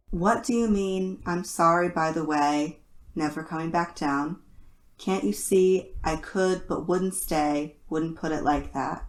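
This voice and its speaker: A woman co-hosting a podcast in a casual, playful, self-deprecating way, recorded with a roomy echo. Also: fairly low pitch. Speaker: podcast co-host. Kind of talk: casual chat and reading lyrics aloud. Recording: roomy, echoey sound.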